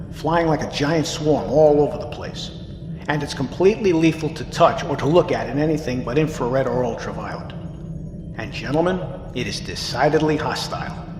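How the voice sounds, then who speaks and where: An actor playing a steady, grave ship's captain, addressing his crew in a measured, authoritative way in an audio drama. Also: fairly high pitch, echoey room, in a large hall, noisy background, natural voice. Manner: measured, authoritative, plain-spoken. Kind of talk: scripted audio drama, formal announcement.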